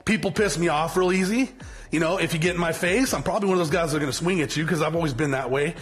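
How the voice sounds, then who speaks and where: A man in his forties speaks off the cuff in a fairly high voice, blunt and fiery and quick to swear. His thoughts ramble and restart as he calls his viewers "guys." Faint music plays underneath. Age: 40s. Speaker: motivational vlogger, business owner. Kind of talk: unscripted motivational talk to camera.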